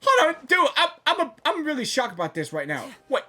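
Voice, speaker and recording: A woman doing casual live gaming reactions, excitable, stammering and repeating words. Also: high voice, fairly quick.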